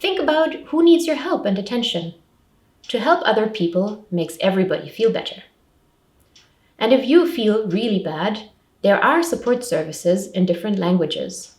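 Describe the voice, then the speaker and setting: A woman's voice: mid-range voice, darkish tone. A woman presenting a scripted public information talk, giving simple, step-by-step advice as a support counsellor. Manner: simple, clearly structured advice in short sentences. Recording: roomy echo, distant microphone.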